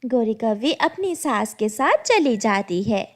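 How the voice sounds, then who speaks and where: A woman's voice: on the high side, very animated, a little slow. A woman narrating a scripted children's story.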